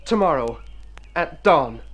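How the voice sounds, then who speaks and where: A man's voice: a little high, lively intonation, slow pace, fairly bright tone, full character voice. An actor playing an earnest, plaintive convalescing city man in a radio drama, theatrical and wordy. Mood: earnest resolve. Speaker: storyteller and lead character in a radio play. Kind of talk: Golden Age radio drama acting. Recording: old radio recording with music.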